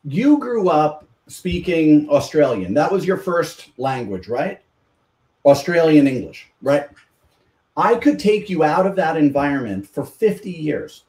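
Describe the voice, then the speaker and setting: A man's voice: a little high, a little mellow, far from the mic. Male podcast guest, communication coach type, explanatory; off-mic, roomy sound. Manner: explanatory, teaching with examples. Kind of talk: podcast interview.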